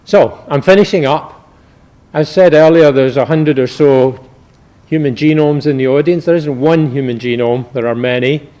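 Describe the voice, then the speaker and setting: Mellow-voiced male academic giving a lecture. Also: fairly high pitch, normal speed. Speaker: university medical researcher giving a talk. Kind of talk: academic public lecture with slides.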